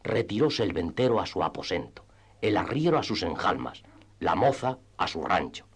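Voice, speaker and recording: A man's voice: low voice, very animated, moderate pace, husky. A man narrating a literary radio drama in a scripted storytelling style.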